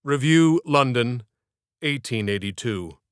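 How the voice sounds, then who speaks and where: A man reading his own literary audiobook in a theatrical, measured storytelling manner. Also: medium pitch, expressive, smooth, dry recording, studio quality. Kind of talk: scripted literary narration.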